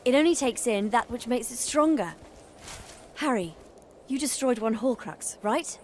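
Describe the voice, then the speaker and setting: A woman's voice: fairly high pitch, animated, a little slow, slight background noise. A woman voicing an anxious, clever teenage girl witch in a video game, earnest. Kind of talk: dramatic video game dialogue.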